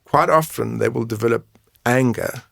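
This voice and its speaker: A man's voice: medium pitch, normal speed, gravelly, fairly bright tone, no room sound, studio quality. A man explaining things frankly and conversationally as an interview guest, often checking his points with a tag question. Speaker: guest, men's mental health coach and advocate.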